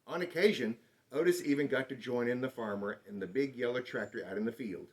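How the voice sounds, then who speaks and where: A man reading a children's picture book aloud in an expressive, dramatic storytelling manner. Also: mid-range voice, a little fast. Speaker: storybook reader.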